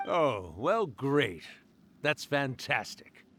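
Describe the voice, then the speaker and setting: A man's voice: medium pitch, big pitch swings, extremely slow, fairly bright tone, slight background noise, heavily acted. A man voicing an ancient, boastful yet genial fox spirit in an anime video game, theatrical and teasing. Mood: fond. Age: centuries old.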